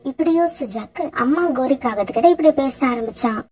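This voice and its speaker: A woman with a husky voice narrating a scripted children's story. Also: high voice, animated, fast, dark tone.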